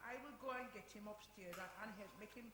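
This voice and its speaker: A woman's voice: medium pitch, quick pace, a crowd in the background, lightly acted. A woman playing a cajoling, peacemaking middle-aged mother with a Jamaican accent in a radio drama. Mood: soothing. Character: peacemaking Caribbean mother of a bickering family. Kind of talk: radio soap acting before a live audience. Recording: echoey hall, loud music.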